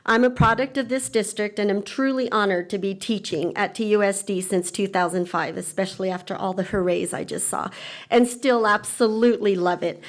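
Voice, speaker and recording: A woman's voice: mid-range voice, steady tone. Woman teacher reading a formal statement. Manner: earnest, measured, formal. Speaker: teacher speaking at public comment. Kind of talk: reading a prepared public comment. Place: school board meeting room.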